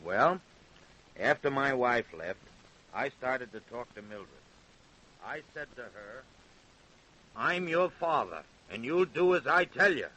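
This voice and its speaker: A man's voice: medium pitch, expressive, takes it slowly, long pauses, sharp tone, exaggerated voice, rough recording. An actor with an American accent playing a possessive, evasive working-class father in an old-time radio crime drama. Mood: confessing.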